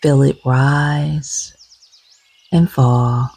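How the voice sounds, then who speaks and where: A woman leading a guided meditation gently and soothingly, with soft, breathy, husky tones and long unhurried pauses. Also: very deep voice, steady tone.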